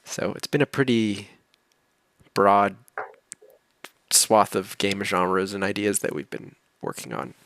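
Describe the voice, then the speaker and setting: Low gravelly man, relaxed explainer. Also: slow pace, no room sound. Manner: relaxed, explains at length, chuckles between points. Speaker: game developer answering questions. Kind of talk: casual livestream Q&A chat.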